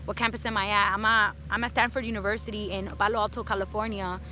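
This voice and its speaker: A woman's voice: medium pitch, level tone, fast talker, bright, forceful tone, a little hiss or hum. A woman of about thirty from New City, chatting casually in an outdoor Q&A vlog and dropping in Spanish words. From New York City. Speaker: personal finance YouTuber. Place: outdoors in hot sun.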